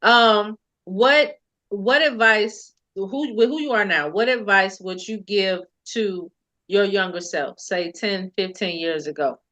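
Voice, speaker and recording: Bright-voiced easygoing woman podcast host. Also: mid-range voice, takes it slowly. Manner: affectionate, easygoing, calls people boo and baby. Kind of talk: casual interview chat.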